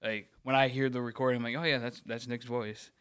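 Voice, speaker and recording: A man's voice: medium pitch, level tone, fairly quick, fairly bright tone, smooth. A man co-hosting a casual podcast chat, hesitant and self-deprecating. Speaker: podcast co-host.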